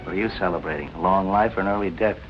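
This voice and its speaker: Actor as a low, quick-talking wary club boss. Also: male voice, close to an everyday voice, low-quality recording. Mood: wary, dry. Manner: dry, clipped, sardonic. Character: wary, dry-witted male nightclub boss. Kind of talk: 1940s film acting. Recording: vintage 1940s film soundtrack, faint music.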